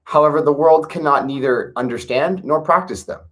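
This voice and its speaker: A man reading an old wisdom text aloud in a measured, earnest way, with brief casual asides to his listeners. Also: a little high, a bit bright. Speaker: reader of a classic text.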